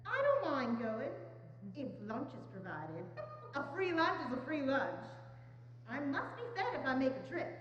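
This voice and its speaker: High, lively gossiping laundress played by a woman. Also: unhurried, soft background music. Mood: nosy, casual. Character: nosy, self-interested gossiping laundress woman. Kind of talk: amateur dramatic reading on stage. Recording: muffled echoey church hall.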